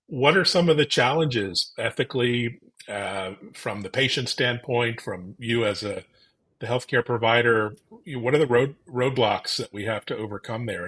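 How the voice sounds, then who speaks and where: A man's voice: medium pitch, a bit bright. A man hosting a video call interview, asking upbeat questions of his guests. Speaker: interview host.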